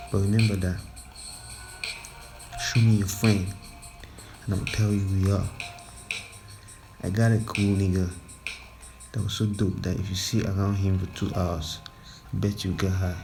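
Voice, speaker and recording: Nigerian man, podcast host, slangy reflective monologue, deep flat voice, clear music in the background. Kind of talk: personal storytelling monologue.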